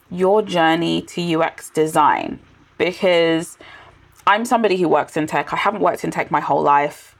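A woman hosting a podcast, asking curious, thoughtful questions. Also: deep voice. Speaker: podcast host. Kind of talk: casual interview chat.

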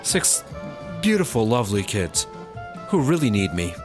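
A man's voice: fairly low pitch, big pitch swings, normal speed, music in the background. A man playing a nervous, polite, naive guy in a comedy audio drama, hesitant and apologetic. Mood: awkward, polite.

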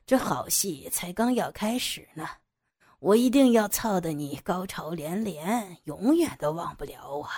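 A woman voicing a forceful, gloating adult man in a lowered, breathy voice. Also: animated, a little slow. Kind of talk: audio drama reading.

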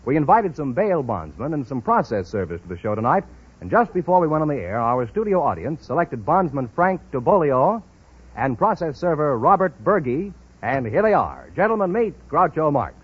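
A man's voice: fairly high pitch, big pitch swings, normal speed, husky. A man announcing on an old radio quiz show in a polished, emphatic presenting style, on a dull vintage recording.

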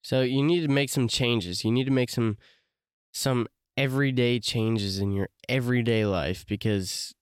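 A man's voice: mid-range voice, fairly even intonation, normal speed, clean tone, no room sound, studio quality. A man hosting a casual solo podcast, talking loosely with many fillers and restarts, his voice a bit congested from allergies. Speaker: podcast host.

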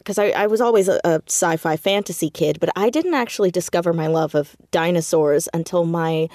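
A woman chatting casually as an interview guest, telling things in an animated, easygoing conversational way. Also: lowish voice, a little fast, no room sound. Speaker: podcast guest, audio fiction writer and actor.

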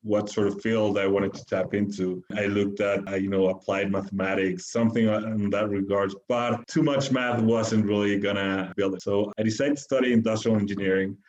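A man's voice: fairly low pitch, fairly even intonation, normal speed, few pauses, darkish tone, dull audio. A man in his thirties from Mexico, answering interview questions casually with many fillers and restarts. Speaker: guest, venture capital founder.

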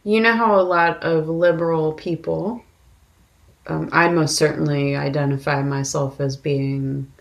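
Low-pitched, slow-talking woman with creaky voice. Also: steady tone. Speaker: podcast co-host discussing films. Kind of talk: casual conversational film discussion.

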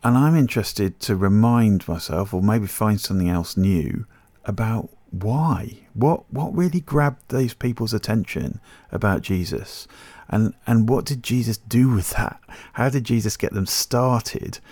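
A man co-hosting a podcast, explaining things conversationally in a measured way, sometimes posing rhetorical questions. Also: lowish voice, darkish tone, no echo. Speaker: podcast co-host.

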